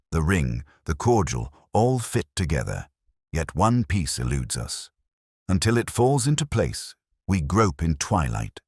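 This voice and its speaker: A man voicing a brilliant, intense detective in an audiobook, commanding and cryptic, with deliberate, rhetorical delivery. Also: sits low, slightly rough, no echo, studio quality, exaggerated voice. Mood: intent.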